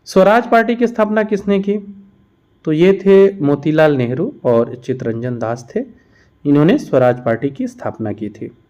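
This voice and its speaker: A man's voice: fairly high pitch, expressive, moderate pace, warm tone. A man teaching an exam-prep lesson, reading out questions and explaining the answers. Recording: dull, muffled lo-fi sound.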